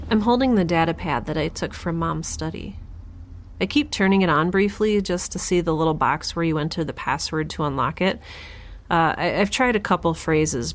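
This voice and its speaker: A woman's voice: fairly low pitch, a little hiss or hum. A woman playing a sleepy, wry young woman in space, rambling confidingly into an audio diary for a science fiction podcast drama. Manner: rambling, confiding, self-mocking.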